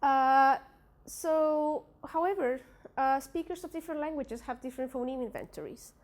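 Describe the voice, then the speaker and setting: A woman's voice: sits high, expressive, moderate pace. Spanish-accented woman giving a hesitant talk. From Spanish-speaking country. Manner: hesitant, many fillers and restarts. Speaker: researcher presenting at a workshop. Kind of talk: academic presentation.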